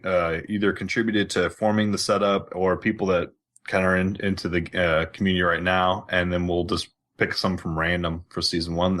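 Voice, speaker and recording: Man, deep pitch, podcast host, casual gaming chat, informal, rambling delivery. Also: a bit bright.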